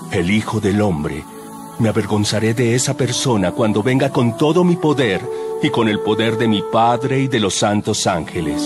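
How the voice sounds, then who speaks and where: Man playing grave commanding teacher, lowish pitch, solemn, audio Bible drama, clear music behind. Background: prominent music.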